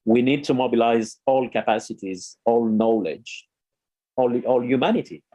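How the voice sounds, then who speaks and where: A man's voice: medium pitch, moderate pace, warm tone, highs cut off. Earnest man speaking at a conference. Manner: earnest, with frequent fillers and restarts. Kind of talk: spoken conference remarks.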